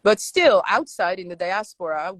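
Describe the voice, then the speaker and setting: A woman's voice: mid-range voice, sing-song, normal speed, fairly bright tone, dry recording. Earnest Iranian-born woman, dry sound. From Iran. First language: Farsi. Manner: earnest, emphatic, thoughtful. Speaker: interview guest, advocacy founder. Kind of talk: conversational interview.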